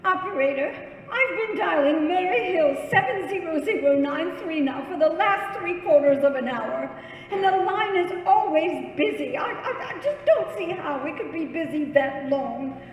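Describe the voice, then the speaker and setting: Very high-pitched, fretful invalid wife. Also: female voice, expressive, normal speed, slight background noise. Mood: nervous, complaining. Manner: fretful, flustered, indignant, melodramatic. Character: frail, nervous, imperious invalid wife alone at home. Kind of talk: old-time radio thriller acting. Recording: echoey hall with long reverb.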